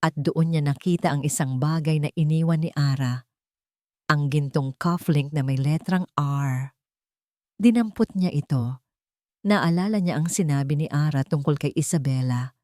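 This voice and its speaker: A woman with a lowish voice narrates a scripted romance. Her delivery is dramatic storytelling. The recording is very dry, with no room sound. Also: normal speed, mellow, dry recording.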